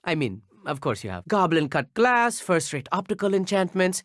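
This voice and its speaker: Teen wizard nerd for a game, super animated pitch, dry clean sound, polite n a bit pompous, nervous but show-offy. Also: male voice, moderate pace, dry recording. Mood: eager, proud. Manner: articulate, formal, slightly pompous. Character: eager, bookish teenage schoolboy wizard, nervous but boastful. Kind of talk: video game character dialogue.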